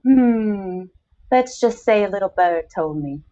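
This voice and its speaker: A woman's voice: medium pitch, lively intonation, slow pace, dark tone, dull audio. A woman playing a knowing, wry society dame of forty with uncanny powers, in a dramatic short story reading.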